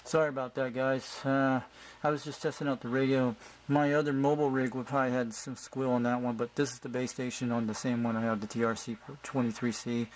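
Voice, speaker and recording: A man's voice: medium pitch, level tone, brisk. A man, an amateur CB radio operator, talking casually and informally in a friendly way with radio slang. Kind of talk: casual hobbyist chat and radio talk. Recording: clearly noisy home video recording.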